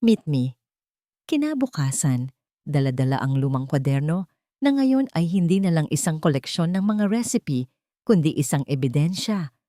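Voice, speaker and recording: A woman narrating a dramatic scripted story in a measured, solemn, deliberate manner. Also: sits low, sing-song, mellow, dry recording, studio quality.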